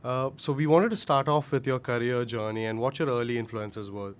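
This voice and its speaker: A man's voice: mid-range voice, fairly quick, clear, resonant tone. A young man hosting a student podcast, reading a formal guest introduction with many filler sounds.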